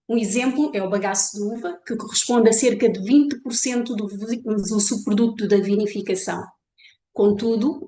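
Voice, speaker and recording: A woman professor with a European Portuguese accent gives a formal academic presentation at a measured pace. Her voice is middle-pitched and sounds echoey in the room. A faint background noise runs under her speech. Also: mellow. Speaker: professor and researcher. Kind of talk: online conference presentation.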